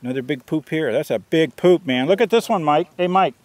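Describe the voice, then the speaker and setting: A man's voice: on the high side, a little fast, a little mellow. A man narrating casually while filming on a hike in an open grassy field, with the camera's own mic. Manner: chatty, running commentary. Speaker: hiker filming a Bigfoot search. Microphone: handheld camera mic.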